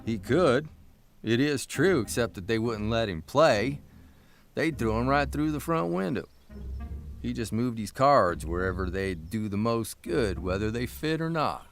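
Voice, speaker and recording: A man's voice: mid-range voice, animated, unhurried, faint music behind. An actor playing a wry, folksy newspaper columnist who tells his own stories in a plain-spoken, conversational way for an audio drama. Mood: rueful, dry. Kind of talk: first-person storytelling in audio drama.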